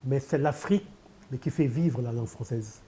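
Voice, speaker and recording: Dark-voiced older man, emphatic. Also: medium pitch, normal speed, slight background noise. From Senegal. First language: Pulaar. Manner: emphatic, repeats key phrases, rhetorical. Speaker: poet and candidate guest. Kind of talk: televised interview.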